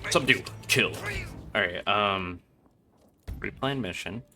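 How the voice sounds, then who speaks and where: A man's voice: fairly low pitch, takes it slowly, music in the background. A man casually commentating on a game as he streams, muttering profane, exasperated asides. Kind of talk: casual live gameplay commentary.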